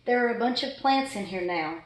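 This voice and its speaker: A woman's voice: on the high side, expressive, fairly quick, close to an everyday voice. A woman voicing an exasperated, fussy pet goldfish in a dramatic children's storybook read-aloud. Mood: grumpy, deadpan. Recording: echoey room.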